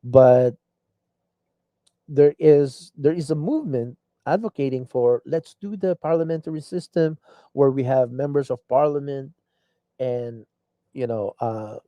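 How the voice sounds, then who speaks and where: A man's voice: medium pitch, no room sound, muffled recording. A man hosting a live online show, delivering an earnest, rambling political commentary monologue full of restarts. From Philippines.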